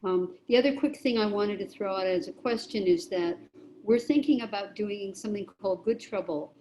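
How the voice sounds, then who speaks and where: A woman arts producer talking unhurriedly on a video call, with many pauses and fillers as she thinks aloud. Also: medium pitch, warm tone, slight background noise, rough recording. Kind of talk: casual group check-in on a video call.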